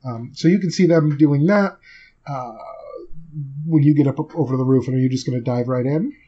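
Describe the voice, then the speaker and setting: Male game master, soft-edged husky voice, loose improvised narration, dull lo-fi sound. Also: medium pitch, fairly quick. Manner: conversational, hedging with kind of.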